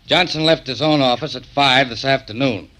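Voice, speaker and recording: A dutiful junior police officer, acted by a man, reporting in a factual, deferential way. The voice is medium in pitch with a bright, forceful edge. The delivery is classic 1940s radio crime drama. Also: moderate pace, lightly acted. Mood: businesslike.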